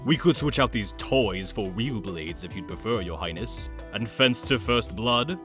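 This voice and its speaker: A man's voice: on the low side, lively intonation, a little slow, a bit bright, soft background music. A man playing a menacing, arrogant lord and swordsman in a comic dub. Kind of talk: comic dub character acting.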